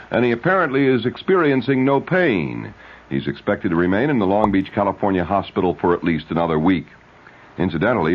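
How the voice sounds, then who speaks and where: Low-voiced male radio newsreader. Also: breathy edge. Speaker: radio news anchor. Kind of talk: scripted 1970s news bulletin. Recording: dull, lo-fi old radio recording.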